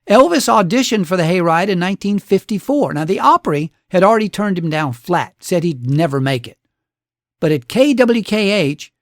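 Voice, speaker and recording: A man's voice: high voice, no room sound, studio quality. A man hosting a radio show, narrating a scripted story with folksy, dramatic phrasing.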